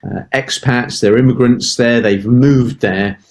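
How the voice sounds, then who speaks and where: A middle-aged man explaining sales in an interview, with firm emphasis and a habit of doubling words to drive a point home. His pitch sits in a plain middle range. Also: fairly even intonation, unhurried. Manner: emphatic, repeats words for stress. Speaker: sales expert guest. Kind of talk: interview answers, advice.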